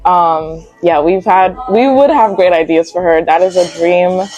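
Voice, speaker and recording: A woman's voice: fairly low pitch, a little slow, darkish tone, faint music behind, rough recording. A young woman of Guyanese heritage, a magazine founder, chatting casually as an interview guest in a cafe, full of "like" fillers. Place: cafe and lounge.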